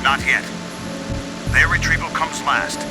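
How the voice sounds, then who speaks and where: An actor playing an authoritative, unbending male terrorist leader in a video game, measured and imperious. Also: on the high side, steady tone, close to an everyday voice, poor audio. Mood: commanding. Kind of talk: dramatic video game acting. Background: music.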